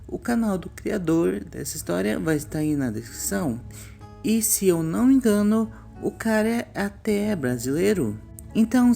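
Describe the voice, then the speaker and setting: A woman's voice: lowish voice, gravelly, dark tone. A Brazilian woman hosting a scripted online commentary on horror animation, with a theatrical storytelling manner. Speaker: YouTube host.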